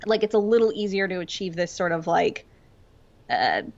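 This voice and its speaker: A woman's voice: on the low side, lively intonation, moderate pace, a little mellow, dull audio. A woman co-hosting a podcast in casual chat, gushing and informal, peppering her talk with "like". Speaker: podcast co-host.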